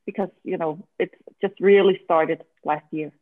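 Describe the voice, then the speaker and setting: A woman's voice: fairly low pitch, fairly even intonation, normal speed, dry recording. A woman in her forties, an event strategy consultant, answering interview questions in a casual, hesitant way with lots of fillers. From Denmark. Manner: hesitant, many fillers, laughs easily. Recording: dry tinny phone-like line.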